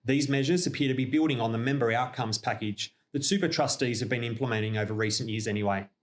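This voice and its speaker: Australian man presenting a measured business update. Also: mid-range voice. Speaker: company representative presenting a newsreel update. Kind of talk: scripted informational narration.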